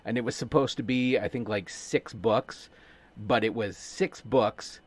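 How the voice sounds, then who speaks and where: A man co-hosting a podcast in casual chat, animated and quick with asides and casual swearing. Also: mid-range voice. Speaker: podcast co-host.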